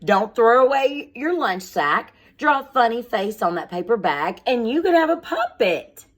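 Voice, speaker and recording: A woman's voice: on the high side, very animated, normal speed. A woman speaking as a schoolteacher, reading aloud and explaining things in simple, instructive words addressed directly to young children.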